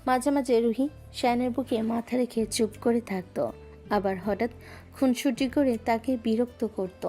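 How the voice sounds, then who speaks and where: A woman narrating a romantic audio drama in a smooth storytelling manner. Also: fairly high pitch, steady tone, normal speed, dark tone, soft background music.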